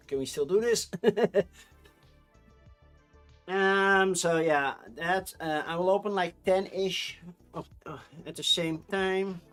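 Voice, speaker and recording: A man's voice: on the high side, fairly bright tone. A man in his thirties with a Dutch accent, rambling casually on a live stream. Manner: rambling, chatty, with frequent restarts and laughs.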